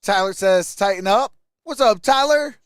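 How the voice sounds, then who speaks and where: A man's voice: sits high, animated, normal speed, a bit bright, smooth, no room sound, studio quality. A man hosting a sports livestream, mixing casual play-by-play with chat in an emphatic way.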